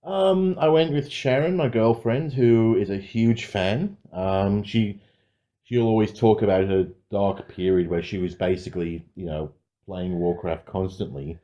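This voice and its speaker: A man with a fairly low, dark and relaxed voice co-hosts a podcast. He talks films casually and informally, often correcting himself as he goes. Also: measured pace, lo-fi sound. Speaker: podcast co-host. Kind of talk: casual film review chat.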